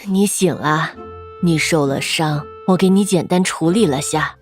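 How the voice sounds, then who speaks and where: A woman playing a caring, practical old grandmother in an animated series, speaking plainly. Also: sits low, a little slow, faint music behind. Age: older. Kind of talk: animation voice acting.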